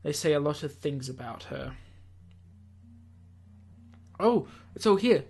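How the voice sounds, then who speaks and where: Husky-voiced man hosting a game read-through. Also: fairly high pitch, expressive, slow pace, darkish tone, faint music behind. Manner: theatrical read-aloud mixed with offhand commentary. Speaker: let's play host reading a visual novel aloud. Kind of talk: game narration with casual asides.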